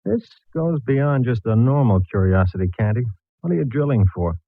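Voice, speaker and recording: An actor playing a dry, laconic, teasing homicide inspector in a 1940s radio detective drama. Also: male voice, medium pitch, lively intonation, moderate pace, gravelly, no echo. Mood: dry, businesslike. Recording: muffled, thin vintage radio recording.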